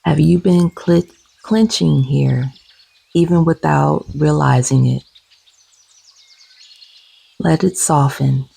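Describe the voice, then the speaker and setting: A woman leading a guided meditation gently and soothingly, with soft, breathy, husky tones and long unhurried pauses. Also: low voice, no echo.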